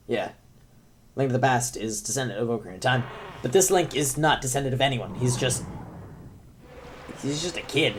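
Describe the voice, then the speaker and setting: A young man who is sick, talking in a rambling, self-deprecating way with many fillers as he comments on a video game. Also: medium pitch. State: sick, deep voice. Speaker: gaming commentator. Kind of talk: let's play commentary.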